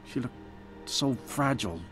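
An actor playing a remorseful imprisoned werewolf in human form, brooding and halting, in video game dialogue. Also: male voice, mid-range voice, lively intonation, fairly bright tone, faint music behind, lightly acted. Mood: feral, hungry.

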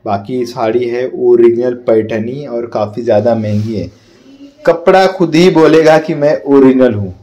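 A man's voice: mid-range voice, warm tone, roomy sound, lo-fi sound. A man in his thirties narrating a casual step-by-step laundry how-to, talking to viewers as friends in an indoor workshop. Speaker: laundry business owner.

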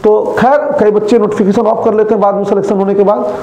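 A man's voice: sits high, little pitch movement, fast, dark tone, roomy sound. A young man, a coaching teacher, chatting casually to his students and speaking to them directly, filmed indoors in front of a screen.

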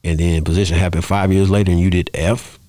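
Very deep, fast-talking man. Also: steady tone, mellow, dry recording, clean studio sound. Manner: rambling storytelling, punctuated with "you know what I'm saying". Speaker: podcast guest, rapper. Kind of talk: casual interview talk. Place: small podcast studio, indoors. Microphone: close studio microphone on arm, headphones.

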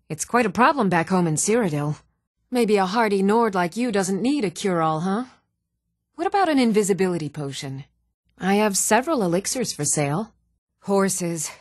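Actress as an even-toned young fantasy woman, close and dry. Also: medium pitch, dry recording, lightly acted. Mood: matter-of-fact, then bored, sour. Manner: measured, serious delivery. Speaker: voice actor. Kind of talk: video game character dialogue.

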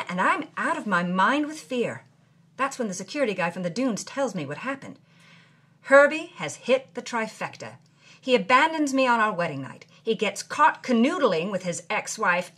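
A woman plays a brassy young starlet recounting her misadventures with wry, theatrical flair. Her voice is a little husky, and her pitch rises and falls in a lively, animated way. The delivery is scripted audio drama narration. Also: exaggerated voice. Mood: exasperated. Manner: wry, dramatic storytelling with period slang. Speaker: lead character narrating from her journal.

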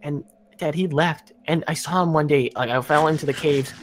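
A man's voice: on the high side, moderate pace, slight background noise, close to an everyday voice. A man playing an earnest, practical young son who survived a plane crash, in a casual improvised game roleplay. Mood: concerned, explaining.

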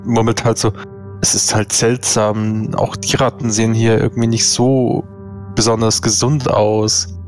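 A man's voice: fairly low pitch, level tone, a little slow, soft background music. A man casually narrating his character as a player in a tabletop role-playing game.

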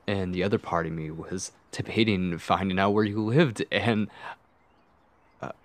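A man playing a nervous, lovestruck, obsessive young man in an intimate ASMR roleplay, stammering and muttering to himself in a breathy, husky voice. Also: sits low, measured pace, no room sound. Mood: relieved, flustered.

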